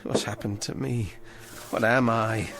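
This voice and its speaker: A man's voice: fairly low pitch, fairly even intonation, a little slow. An actor playing an anxious, self-pitying ventriloquist down on his luck, in classic TV drama style. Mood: bitter. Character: down-on-his-luck male ventriloquist turned thief. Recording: old TV soundtrack with music underneath.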